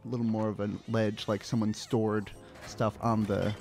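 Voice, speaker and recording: A man's voice: lowish voice, flat delivery, normal speed, a little mellow. A man talking casually as a player in a tabletop role-playing game, thinking aloud as he goes.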